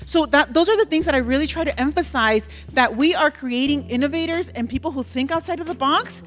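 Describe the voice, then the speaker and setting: A woman from El Salvador speaks from a conference stage with a high, light voice. She tells her story in an earnest, reflective way. Faint music hums behind her. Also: fairly quick, a bit bright. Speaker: keynote speaker. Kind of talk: personal keynote talk.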